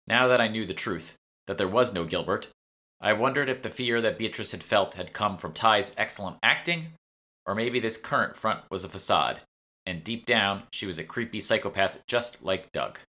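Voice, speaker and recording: A man narrates a first-person novel as its author. His voice sits a little low and has a bright, forceful edge. The delivery is scripted storytelling for an audiobook. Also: lively intonation, normal speed. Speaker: author reading own audiobook.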